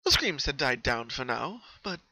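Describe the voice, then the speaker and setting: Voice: medium pitch, sing-song, moderate pace, bright, forceful tone, dry recording. A narrator giving an intense, theatrical dramatic reading of a horror story.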